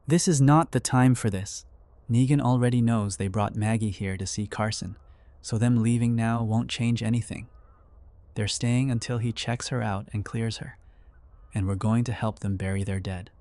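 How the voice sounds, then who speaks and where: A man's voice: lowish voice, steady tone, moderate pace, dark tone, dry recording, close to an everyday voice. A man acting a firm but compassionate survivor in an audio drama. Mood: firm, defiant. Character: firm, compassionate male survivor standing his ground.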